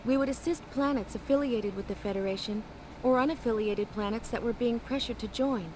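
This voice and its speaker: A woman voicing a calm, courteous second-in-command of a spaceship in a video game, speaking in a measured way. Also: fairly high pitch, background noise, natural voice. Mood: explanatory, calm. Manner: measured, polite, composed.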